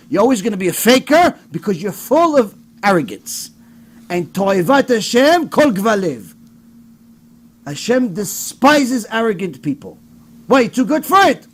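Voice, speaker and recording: A man speaking as a rabbi, giving an informal, emphatic sermon with personal stories, acted-out dialogues and rhetorical questions, in a husky, rough-edged voice. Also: very high-pitched. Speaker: rabbi giving a religious lecture.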